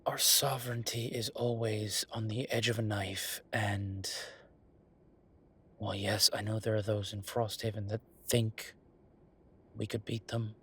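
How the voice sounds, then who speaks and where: A man's voice: lowish voice, level tone, a little slow, a bit bright, husky, heavily acted. A man playing a wry, affectionate werewolf bureaucrat in an intimate roleplay audio drama, talking in a casual, teasing, conversational way. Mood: wry, concerned.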